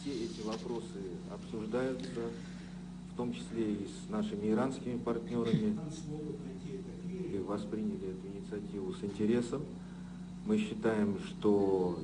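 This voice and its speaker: Very noisy, humming news clip of a man with a lowish voice. He speaks as an official giving a statement to the press. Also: fairly even intonation, normal speed. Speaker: government official. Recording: heavy noise, hum, distant news clip.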